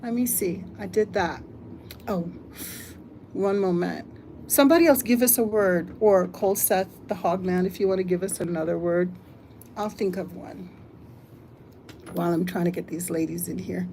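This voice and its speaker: An older woman from the United States hosting a casual live stream, chatting, reading comments aloud and thinking out loud. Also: fairly low pitch, moderate pace, creaky, slight background noise. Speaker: livestream host.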